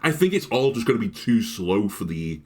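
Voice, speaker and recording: A man, sick and a bit raspy, runs through card-game ratings in a casual commentary. His pitch swings widely as he weighs each card and thinks out loud. He clears his throat between points. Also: very animated, normal speed. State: sick, slightly deeper and raspier voice. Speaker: card game content creator.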